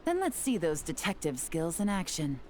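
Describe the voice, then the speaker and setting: A woman's voice: on the low side, wildly swooping pitch, moderate pace, darkish tone, a little hiss or hum. A woman playing a cool, confident female investigator in a video game, with a dry, teasing, composed delivery.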